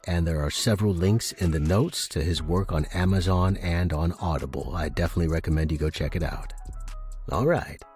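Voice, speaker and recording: A man narrating a story as a podcast host, with a husky voice and an expressive delivery. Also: deep voice. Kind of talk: expressive first-person audiobook-style story narration.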